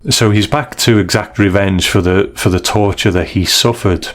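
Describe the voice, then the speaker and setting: A man's voice: fairly low pitch, fairly even intonation, moderate pace. A man co-hosting a podcast, discussing and reviewing stories casually in a thoughtful, hesitant way. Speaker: podcast co-host.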